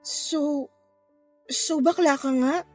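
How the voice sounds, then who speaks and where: A woman's voice: sits high, steady tone, very slow, a little mellow, faint music behind. A woman acting a troubled, hurt young girlfriend in a Tagalog radio drama. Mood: confused, disbelieving.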